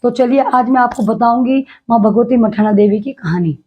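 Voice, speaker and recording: A woman from Uttarakhand with a slightly hoarse throat, telling a devotional temple legend to camera in a casual, hesitant way. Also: on the high side, normal speed, a little mellow. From Uttarakhand, India. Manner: devout, explanatory, with frequent hesitations.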